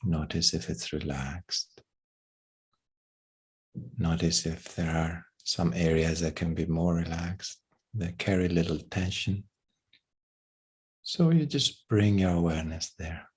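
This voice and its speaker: Low, husky man guiding a meditation. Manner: slow, gentle, unhurried phrasing with long pauses. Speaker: meditation guide. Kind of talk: guided meditation.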